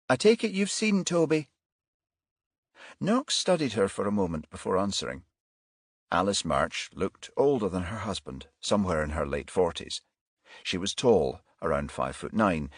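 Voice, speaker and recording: A man's voice: low voice, sing-song, normal speed, slightly rough, no room sound, clean studio sound. A man reading a crime novel as an audiobook narrator in a scripted storytelling style.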